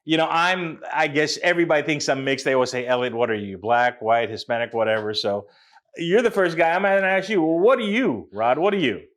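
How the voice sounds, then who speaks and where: A middle-aged man with a somewhat high voice hosts a casual talk show. He chats loosely with his guest, laughs easily and drifts into rambling comic asides. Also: expressive, a little fast, a bit bright. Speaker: talk show host, stand-up comedian. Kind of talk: casual comedic interview.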